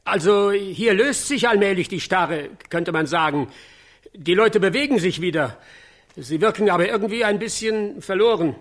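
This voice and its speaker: On-site reporter for radio drama: slightly high, breathy-rough voice, courteous, halting. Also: male voice. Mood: hesitant. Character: field reporter interviewing witnesses, polite, halting.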